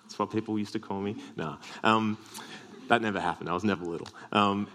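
Australian male preacher, deep low voice, earnest sermon delivery lightened by self-deprecating jokes. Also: fairly even intonation, a little fast, pushed and bright.

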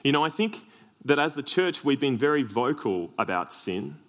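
An Australian man with a deep voice preaching a sermon, earnest with self-deprecating humorous asides. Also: sing-song, normal speed.

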